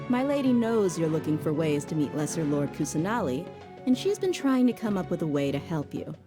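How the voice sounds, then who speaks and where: An actress voicing a tough female mercenary bodyguard who is blunt and casual but soft at heart, in a game cutscene. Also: deep voice, big pitch swings, a little fast, music in the background. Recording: game score softly behind.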